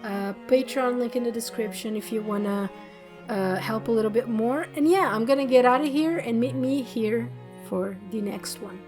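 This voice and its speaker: A woman hosting a let's play, chatting excitedly and loosely about a game, her voice a little worn from talking. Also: a little high, normal speed. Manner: excited, rambling, many fillers. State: slightly losing her voice. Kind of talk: casual gameplay commentary. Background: faint game music.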